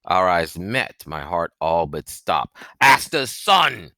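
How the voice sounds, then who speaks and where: A man narrating an audiobook in an expressive, suspenseful, dramatic storytelling style. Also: deep voice, slow, dry recording, studio quality.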